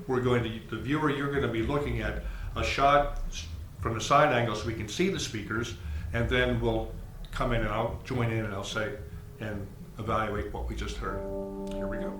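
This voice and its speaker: A man's voice: medium pitch, fairly even intonation, normal speed, few pauses, fairly bright tone. A man hosting a video and interviewing in a conversational style. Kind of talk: conversational audio show interview. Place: indoor demo room at an audio show. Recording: echoey room with faint noise.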